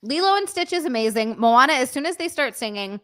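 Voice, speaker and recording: A woman from Hawaii co-hosting a casual livestream, blunt and deadpan-sarcastic. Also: high voice, brisk. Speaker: co-host.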